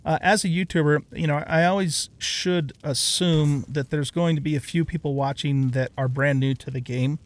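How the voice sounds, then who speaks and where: A middle-aged man with a voice pitched a little higher than most men's. He hosts a gaming video in a casual, unscripted way. He tends to ramble, talking through each step as he thinks of it. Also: fairly even intonation, moderate pace, hardly any pauses, no echo. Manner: rambling, thinking aloud as he plays. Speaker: gaming YouTuber, host. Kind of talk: casual let's play commentary.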